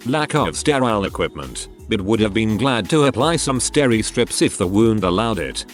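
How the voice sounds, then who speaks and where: A man narrating forum stories aloud in a scripted reading style, moving from post to post. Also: fairly low pitch. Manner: reads each post aloud in turn. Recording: faint background music.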